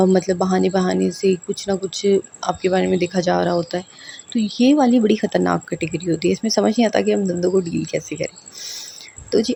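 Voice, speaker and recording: A woman's voice: on the low side, creaky, dark tone, a little hiss or hum. A woman in her thirties with a sore throat, chatting to viewers in a rambling, direct way as she gives family advice at home. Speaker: vlogger giving family advice. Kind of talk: casual advice vlog.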